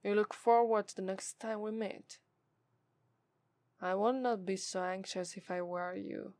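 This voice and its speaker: Woman acting as scornful female demon, Italian accent, medium pitch, haughty, deliberate, breathy whispered touches, ASMR roleplay, close dry recording. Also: fairly even intonation, a little mellow, no echo, lightly acted.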